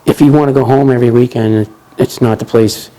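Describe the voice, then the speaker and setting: A man in his forties with a Boston accent, a truck driver chatting casually in an interview, relaxed and rambling with lots of "you know". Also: mid-range voice, quick pace, poor audio. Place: office lounge, indoors.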